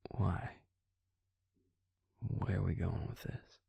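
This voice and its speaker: A man playing a gentle, protective vampire with a Southern US accent in an intimate audio roleplay, speaking in a breathy, gravelly, soothing half-whisper. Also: deep voice, fairly even intonation, slow, no room sound, muffled recording, full character voice. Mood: wry, curious. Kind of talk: intimate scripted audio roleplay, addressing the listener.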